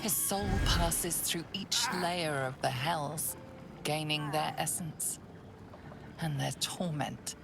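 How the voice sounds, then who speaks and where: Ominous, low, creaky infernal curse-chanter. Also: female voice, slow pace, music in the background, heavily acted. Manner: incantatory, solemn. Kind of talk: dramatic video game voice acting.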